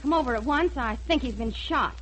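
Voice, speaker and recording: An actress playing a dryly comic, nonchalant wife in a 1930s live radio comedy, on a noisy old recording. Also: female voice, high-pitched, a little fast.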